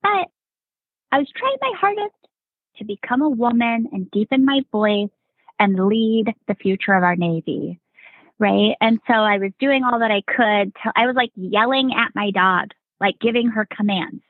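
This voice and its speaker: A woman's voice: mid-range voice, animated, normal speed, no echo. Woman on a tinny, dry phone line. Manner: rambling, fills with "like" and "right?". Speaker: podcast guest, Navy veteran. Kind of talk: casual storytelling chat.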